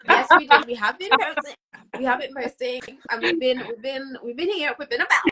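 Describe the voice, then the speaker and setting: A woman's voice: sits high, brisk, a crowd in the background. A British woman in her twenties chatting casually as a podcast co-host, emphatic and slangy, dropping in Spanish phrases. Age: 20s. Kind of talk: casual chat.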